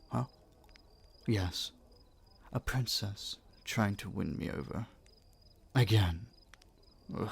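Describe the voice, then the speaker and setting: A man acting young crown prince, breathy and husky, low-pitched, teasing, affectionate, courtly, romantic audio roleplay. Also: exaggerated voice. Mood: weary, smug. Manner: teasing, soft-spoken courtly intimacy.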